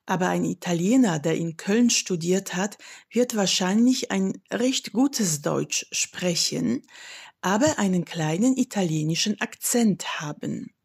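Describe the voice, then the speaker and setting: A woman from Poland with a Polish accent, a voice coach giving measured, instructive explanations in an interview. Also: fairly low pitch, animated, vocal fry, a little mellow, breathy edge. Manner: measured and instructive, demonstrates examples. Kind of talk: slow, deliberate expert explanation.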